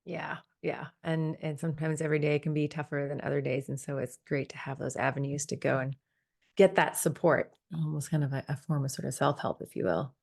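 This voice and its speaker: A woman's voice: deep voice, level tone, a little fast, creaky, a little mellow. A woman hosting a podcast interview, enthusiastic and affirming toward her guests. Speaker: podcast host.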